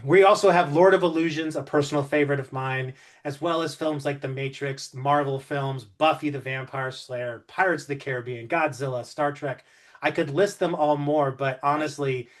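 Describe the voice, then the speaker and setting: A man hosting an interview in an enthusiastic, conversational style. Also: on the high side. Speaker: interview host.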